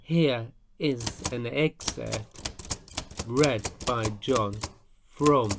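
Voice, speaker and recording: A man delivers scripted history narration at a very slow pace. He speaks deliberately in short phrases at a medium pitch. There is clear background noise with clicking behind him. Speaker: documentary narrator and host.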